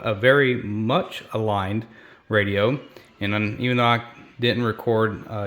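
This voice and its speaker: A man's voice: lowish voice, a bit bright. A man hosting a repair vlog, talking casually and unscripted in a rambling, explanatory way. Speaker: host of a radio repair channel.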